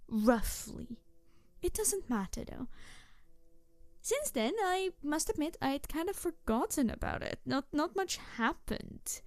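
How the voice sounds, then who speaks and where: A woman playing a mischievous, excitable tanuki trickster spirit in a roleplay audio drama, teasing and flirty and easily huffy, talking straight to the listener. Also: fairly high pitch, no room sound. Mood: sly, teasing, then wistful, curious.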